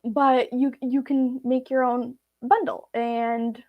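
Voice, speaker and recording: A woman's voice: fairly high pitch, level tone, slow pace, dull audio. A woman from Belarus, a native Russian speaker, chatting casually and informally with viewers as she hosts a live Q&A. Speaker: vlog host.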